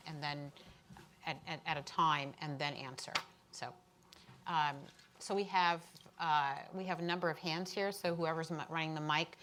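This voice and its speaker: A woman with a fairly low voice runs the session, briskly calling on people in the audience and explaining how the questions will go. There is a faint hiss behind her. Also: fairly bright tone. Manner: brisk, organizing, encouraging murmurs. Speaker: moderator. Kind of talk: moderating a panel.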